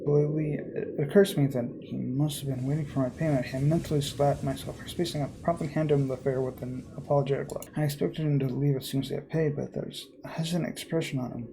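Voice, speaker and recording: A man narrates a horror visual novel in the first person with theatrical, measured delivery. His voice is dark and gravelly, over faint background noise. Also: mid-range voice, steady tone, poor audio. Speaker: let's player reading aloud.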